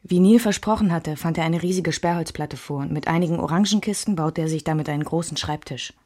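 A woman's voice: low voice, fast, darkish tone. An actress playing a reflective, restrained woman recalling her married life in a scripted radio drama.

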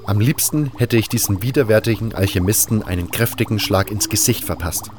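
A blunt, weary adventurer, acted by a man with a fairly low voice, in a fantasy audio drama. He moves between first-person narration and dialogue. Ambient noise is audible behind him. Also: brisk, close to an everyday voice. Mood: indignant. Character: blunt, weary male mercenary hero narrating his quest. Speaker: narrator and protagonist.

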